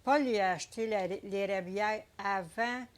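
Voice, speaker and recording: Slow-talking older Québécois woman. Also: mid-range voice. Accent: Québécois French. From Quebec. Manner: unhurried, hesitant, many fillers. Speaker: interviewee, entrepreneur. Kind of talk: casual interview.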